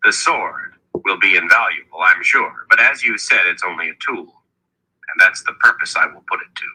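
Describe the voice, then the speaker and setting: Young fantasy audiobook hero male reader: earnest, determined, husky, sharp-edged delivery. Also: low-pitched, lively intonation, normal speed, heavily acted, rough recording. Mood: calm, determined. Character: young male hero, earnest, hot-tempered but resolute.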